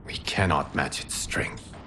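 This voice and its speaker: An actor playing an earnest male guardian leader in a fantasy video game, speaking in a formal, measured way. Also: bright, forceful tone, a little hiss or hum. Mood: grave, resolute. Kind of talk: dramatic video game acting.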